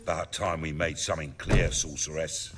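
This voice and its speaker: An actor playing a confident, scheming middle-aged underworld boss in a fantasy video game, speechifying in measured, colloquial English. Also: male voice, very deep voice, steady tone, fairly bright tone, a little hiss or hum. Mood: stern, challenging. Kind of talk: dramatic video game dialogue.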